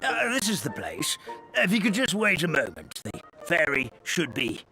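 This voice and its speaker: Jolly old storybook grandpa, wildly swooping pitch. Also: male voice, huge pitch swings, normal speed, gravelly, full character voice, rough recording. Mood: helpful. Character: kindly theatrical elderly male storybook creature, grandfatherly. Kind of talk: animated video game dialogue. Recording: music behind the voice.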